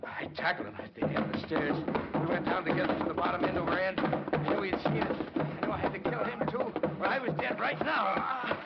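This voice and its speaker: A man's voice: sits high, brisk, hardly any pauses, over loud music. An actor playing a desperate man who narrates his own murder plot in 1940s radio drama style, with clipped, grim delivery. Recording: muffled vintage radio recording.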